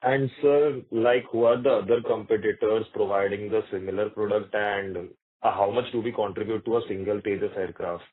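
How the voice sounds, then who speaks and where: A man with an Indian accent phones in as an analyst to put questions. His voice sits fairly low, with informal, deferential wording. The line is narrow and phone-like. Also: steady tone, normal speed, slightly rough. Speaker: investment analyst asking questions.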